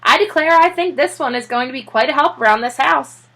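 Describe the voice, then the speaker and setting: A woman's voice: sits high, a little fast, a bit bright, pitched above the performer's own voice. A woman playing a practical, good-natured housewife with old-fashioned, exclamatory speech in a children's storybook. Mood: approving.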